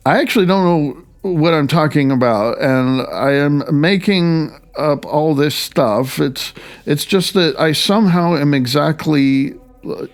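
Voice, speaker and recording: A man reading his own first-person audiobook as its author, in a halting, wry and self-deprecating way, stumbling over words now and then. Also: mid-range voice, vocal fry.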